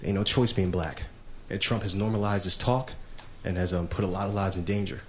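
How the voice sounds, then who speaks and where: Young guy with a really low deep voice, slow and firm, faint room noise. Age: 20s.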